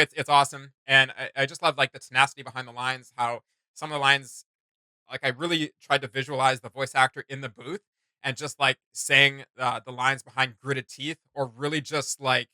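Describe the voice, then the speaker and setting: Bright-voiced man in effusive fan chat. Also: medium pitch, no echo. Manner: rambling, slangy, effusive. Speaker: reaction video host. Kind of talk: casual enthusiastic fan chat.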